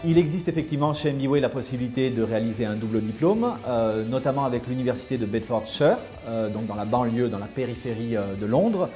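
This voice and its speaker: A man explaining a school's programs in a measured way, with frequent hesitations. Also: medium pitch, lively intonation, warm tone, faint music behind. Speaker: school representative. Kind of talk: promotional interview.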